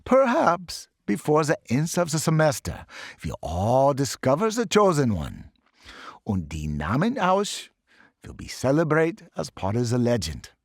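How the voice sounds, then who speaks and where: Brief: man voicing a German-accented professor, lively pitch, dry read, theatrical lecturer. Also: unhurried, no room sound, exaggerated voice. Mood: teasing. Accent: put-on German. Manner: dramatic, playful storyteller.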